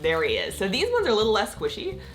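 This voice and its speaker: A woman vlogging casually about her convention trip, a little croaky from losing her voice, rambling with lots of filler words. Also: mid-range voice, huge pitch swings, quick pace. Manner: chatty, rambling, many "like" fillers, laughs often. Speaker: gaming content creator. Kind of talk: casual vlog chat.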